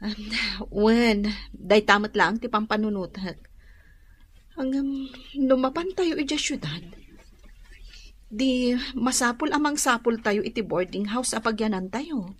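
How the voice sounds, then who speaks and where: A woman plays a young wife in a radio drama. Her voice sits somewhat high, with an everyday, natural delivery. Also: measured pace, pauses often, a little hiss or hum, natural voice. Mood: worried. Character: worried young wife, wary of her in-laws. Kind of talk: Ilocano radio drama acting.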